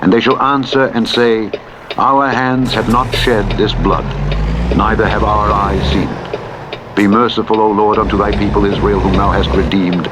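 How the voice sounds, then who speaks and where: Deep-voiced man reading scripture over loud rumble. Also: level tone. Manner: measured, formal, deliberate phrasing. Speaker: audiobook narrator reading scripture. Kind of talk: solemn scripted Bible narration. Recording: muffled radio-like audio under loud truck noise. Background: loud vehicle rumble from a truck driving game.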